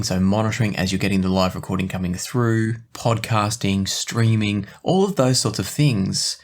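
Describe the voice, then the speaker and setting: A man presenting a product review as host, explaining details with enthusiasm. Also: fairly low pitch. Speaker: host of an audio gear review show.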